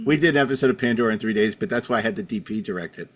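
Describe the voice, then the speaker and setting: A man's voice: fairly low pitch, very fast. A man hosting a podcast in a casual, wry and opinionated conversational style. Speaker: podcast host. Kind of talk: casual podcast interviewing and chat.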